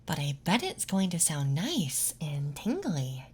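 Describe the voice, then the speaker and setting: Woman playing a young femboy classmate, breathy ASMR roleplay, low-pitched, reassuring and teasing, lilting delivery, close microphone. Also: wildly swooping pitch, unhurried, a little mellow, heavily acted. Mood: gentle, intimate. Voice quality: breathy, whispery. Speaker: ASMR performer. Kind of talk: ASMR roleplay, intimate whispering and soft speech.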